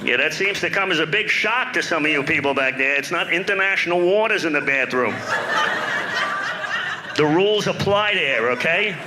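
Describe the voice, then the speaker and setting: A comedian putting on a bossy, sarcastic New York pilot who talks down to his passengers. The voice sits a little high and is gruff. The recording is noisy and live. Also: animated, normal speed, natural voice. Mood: irritated. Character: grumpy New York airline pilot, middle-aged man.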